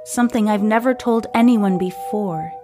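A woman reading as a quiet, troubled boy. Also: medium pitch, moderate pace, over music, lightly acted. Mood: hesitant confiding. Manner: quiet, hesitant confiding. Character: troubled, earnest boy recalling a strange night.

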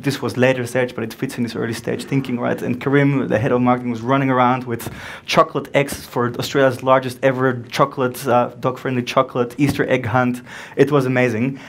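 Animated French-accented man on stage. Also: mid-range voice. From Belgium. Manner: animated, acts out dialogue in quick back-and-forth. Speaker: startup founder giving a conference talk. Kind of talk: energetic keynote storytelling. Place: dark event room with a stage and audience.

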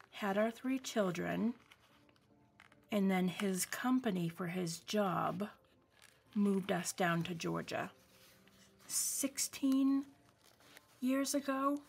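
Slow-talking woman with a gravelly edge. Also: medium pitch, slight background noise. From New England. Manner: rambling, warm asides with many ums. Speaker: craft vlogger. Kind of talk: casual craft-along chat. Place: home craft table. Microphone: overhead camera, hands only.

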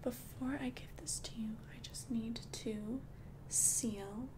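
Slow, whispering witch played by a woman. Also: a little high, fairly even intonation. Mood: helpful, soft. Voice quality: soft, breathy whispering. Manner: slow, gentle, careful explaining. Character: calm, earnest witch selling love potions. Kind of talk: ASMR roleplay.

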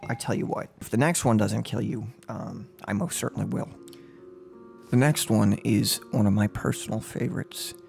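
Man acting a creaky-voiced, unhinged killer. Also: lowish voice, darkish tone, soft background music. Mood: mocking. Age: 20s. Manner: mock-polite, halting, menacing, breaking into giggles. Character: unhinged, gloating serial killer toying with a captive. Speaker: ASMR role-player. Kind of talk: ASMR role-play monologue. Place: small furnished room at home. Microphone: close microphone on a boom arm.

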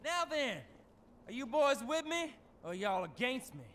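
A man's voice: very high voice, very animated, unhurried, background noise, full character voice. An actor voicing a vengeful, swaggering biker gang leader in a video game, drawling his taunts. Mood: rallying. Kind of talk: video game cutscene acting.

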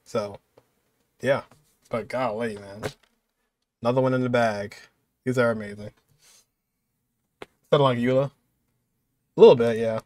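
A man's voice: mid-range voice, steady tone, a little slow, pauses often, darkish tone. Casual young man reacting. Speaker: reaction video host.

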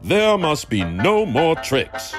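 A man's voice: fairly high pitch, wildly swooping pitch, slow, over music, pitched above the performer's own voice, full character voice. Man voicing a pompous stern railway boss. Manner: indignant, formal scolding.

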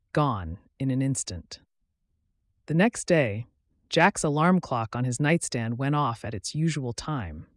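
A man's voice: on the high side, no echo, studio quality. A man reading a story aloud as scripted audiobook narration.

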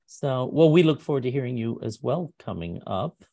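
A man's voice: mid-range voice, animated, moderate pace, gravelly, mellow, no room sound. A middle-aged man hosting casually and chattily on a video call from a room indoors. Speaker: host of an online singing competition. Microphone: computer mic on a video call.

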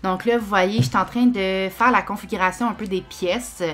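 A woman's voice: medium pitch, steady tone, normal speed, a bit bright, soft background music. A young woman with a Québécois French accent, chatting casually over her game footage with lots of fillers and restarts. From Quebec. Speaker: YouTuber.

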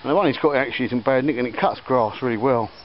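A man rambles through a casual chat, full of ums and restarts, in a middle-pitched voice. He is filming himself outdoors in a garden with a camera mic. The audio is a little dull, with faint outdoor ambience and church bells behind him. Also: very fast, slight background noise. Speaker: vlogger showing auction finds.

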